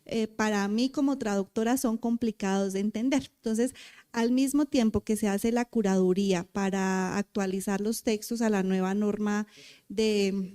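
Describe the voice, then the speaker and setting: A woman translator answering an audience question at a conference, explaining her work quickly with frequent hesitation sounds. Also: a little high, dark tone, smooth. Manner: fast, explanatory, with filler "eh". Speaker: translator and researcher.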